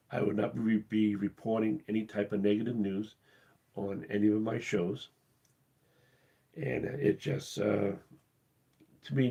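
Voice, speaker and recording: Casual live-stream host, man, low mellow husky voice going hoarse, halting delivery. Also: dull audio. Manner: halting, with many uh's and restarts. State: losing his voice, coughing. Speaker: cruise channel host.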